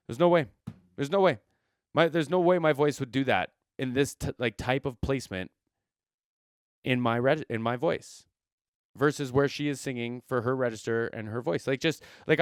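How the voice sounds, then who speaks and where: A man's voice: mid-range voice, clean tone, dry recording, studio quality. A man hosting a reaction video who talks as a singer about vocal technique, rambling enthusiastically and repeating himself with many fillers. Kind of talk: casual commentary on a singing performance.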